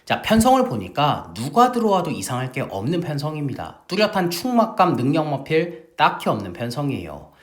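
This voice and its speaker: A middle-aged man runs a horse racing tips show with emphatic, salesman-like drive. His fairly high voice swings up and down with lively intonation. He is just getting over a sore throat. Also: fairly quick, few pauses. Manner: lively, emphatic salesman-like delivery, addresses viewers as family. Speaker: horse racing tipster host. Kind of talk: energetic betting-tips broadcast.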